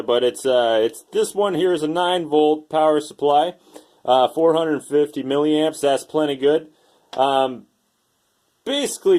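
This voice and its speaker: A man's voice: on the high side, a little mellow. A man casually explaining a how-to project in a blunt, folksy, sweary manner. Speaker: DIY video host.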